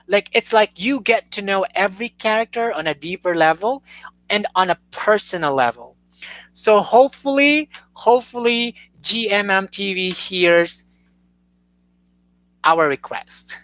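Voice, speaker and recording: A woman's voice: mid-range voice, lively intonation, a little slow, a bit bright, no echo, poor audio. A young woman hosting a live stream, talking earnestly and gushing, often restarting her sentences. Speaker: YouTube host. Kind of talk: casual live-stream chat.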